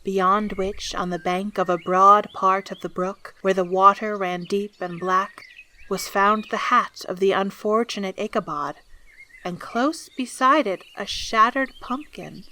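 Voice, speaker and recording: A woman's voice: mid-range voice, unhurried. A woman narrating a scripted audio drama in a theatrical, storytelling manner. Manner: theatrical, swelling from hushed to loud.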